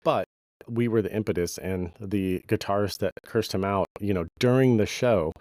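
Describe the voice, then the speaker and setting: A middle-aged man, a bass player with a slight cold, giving casual, rambling advice to musicians with plenty of fillers. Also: normal speed, a little mellow, no echo. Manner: conversational, many fillers, anecdotal. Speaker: podcast host, bass player.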